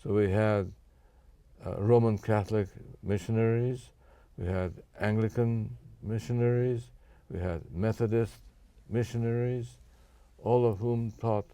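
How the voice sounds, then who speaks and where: An older man born in Japan, answering interview questions in a measured, careful way with frequent fillers and restarts. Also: lowish voice, fairly even intonation, mellow, no echo. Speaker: guest.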